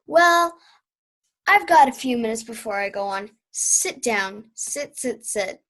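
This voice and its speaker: A woman's voice: a little high, animated, takes it slowly, a bit bright, full character voice. A young woman playing an eccentric, dramatic old aunt and former vaudeville performer in an amateur radio play, theatrical and grandly reminiscing. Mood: grand, nostalgic.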